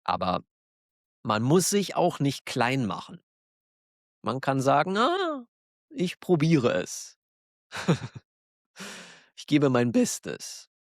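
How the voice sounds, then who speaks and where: A man with a German accent, hosting a podcast for learners, talking slowly and clearly in a warm, encouraging way and laughing now and then. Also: mid-range voice, big pitch swings, no room sound, studio quality. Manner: encouraging, self-deprecating, laughs often. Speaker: German teacher, podcast host. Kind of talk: slow, clear monologue for learners.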